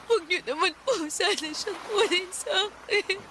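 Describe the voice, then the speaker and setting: A high-pitched, anguished Filipino mother in a radio drama. She is emotional and pleading, with faint noise behind her. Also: a little slow, pushed and bright, heavily acted. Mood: tearful pleading.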